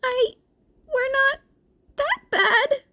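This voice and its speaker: Woman voicing a high, flustered teenage boy. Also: very slow, sharp tone, exaggerated voice. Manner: stammering, breathy exclamations, easily embarrassed. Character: flustered, anxious teenage boy, sweet and nerdy. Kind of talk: character dialogue in a fan fiction reading.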